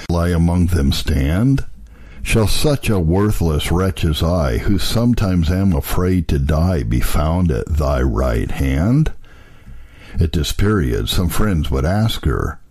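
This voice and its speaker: A man's voice: low-pitched, dark tone. A man reading an old devotional text aloud as a solemn, scripted audiobook narration. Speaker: narrator reading historical religious texts.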